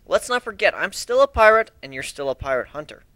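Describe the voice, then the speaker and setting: Bright-voiced, cocky young pirate voiced by a man. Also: high-pitched, very animated, fast talker, no echo. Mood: frank, bantering. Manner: smug, snarky, explaining at length. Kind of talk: amateur dramatic reading of fan fiction.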